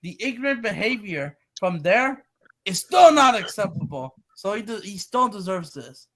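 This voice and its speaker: High-voiced young man, rambling chat. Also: big pitch swings, normal speed, fairly bright tone, poor audio. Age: young adult. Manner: rambling, earnest, repeats himself. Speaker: guest. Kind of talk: casual chat.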